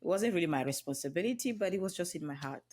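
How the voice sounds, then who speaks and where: A woman's voice: on the low side, expressive, fast, a little mellow. A woman in her thirties from Cameroon with a Cameroonian accent, telling her life story as a podcast guest in an earnest, reflective way with touches of humor. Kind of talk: podcast interview.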